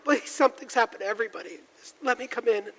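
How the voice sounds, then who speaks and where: A man's voice: very high voice, fast, fairly bright tone. A man playing a distraught family man in a 1940s-style radio drama, pleading and stammering with intense emotion. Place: large church, performed live. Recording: live stage recording in a reverberant hall.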